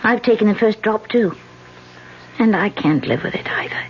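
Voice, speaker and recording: An actress in a 1970s radio drama playing a desperate young wife who pleads in a hushed, half-whispered voice. It is a dull, lo-fi radio recording. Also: female voice, mid-range voice, lively intonation, normal speed, a little hiss or hum, close to an everyday voice. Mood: pleading, hushed.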